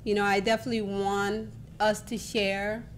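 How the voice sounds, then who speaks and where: A woman hosting a talk show in an informal, encouraging, conversational way over a rumbly background. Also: little pitch movement, moderate pace, a little hiss or hum.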